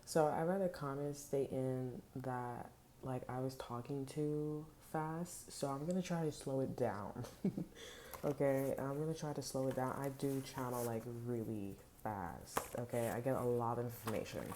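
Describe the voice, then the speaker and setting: A woman giving a tarot reading from home, rambling with many fillers and restarts and speaking low so as not to wake a sleeping child. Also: moderate pace, dark tone, slight background noise. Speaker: tarot reader. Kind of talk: casual spiritual talk to viewers. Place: home at night. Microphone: headphones with mic.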